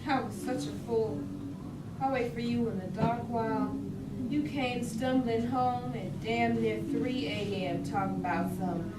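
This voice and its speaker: A woman playing a jilted, guarded lover who speaks in colloquial reproach in a staged reading. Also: high voice. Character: hurt, jilted woman named Rose, resentful and guarded. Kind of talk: staged reading of dramatic monologue. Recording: distant, echoey, very noisy room.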